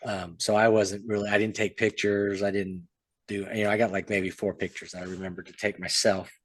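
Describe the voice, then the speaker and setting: Low-pitched guy rambling on a podcast, dry close sound, keeps trailing off with "so". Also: level tone, a little fast, no room sound. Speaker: podcast co-host and author. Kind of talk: casual chat.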